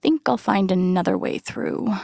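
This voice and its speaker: An actress voicing a wry, caring young woman in a video game, muttering to herself in a soft, understated, dryly musing way. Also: mid-range voice, animated, normal speed, creaky, no room sound, studio quality. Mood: focused musing. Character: young woman, wry, caring, thinking aloud while searching. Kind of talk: video game voice acting, muttered self-talk while exploring.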